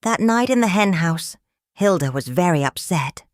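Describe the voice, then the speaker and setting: A woman reading a children's picture book aloud in a calm, measured, expressive storytelling manner. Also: lowish voice, no echo, clean studio sound. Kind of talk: children's read-aloud narration.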